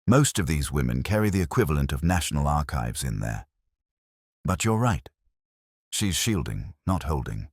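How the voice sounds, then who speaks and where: A man voicing a mild, shrewd English police inspector in a mystery story, speaking gently, smoothly and politely. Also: rumbling low, very animated, normal speed, dry recording, studio quality, exaggerated voice. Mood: polite, measured. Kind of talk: audio mystery story dialogue.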